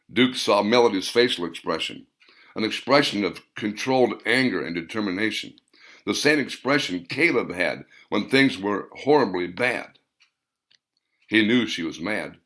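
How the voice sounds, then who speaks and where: A man reading his own novel aloud as an audiobook narrator, in a measured delivery that slows on key phrases. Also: on the low side, lively intonation, sharp tone.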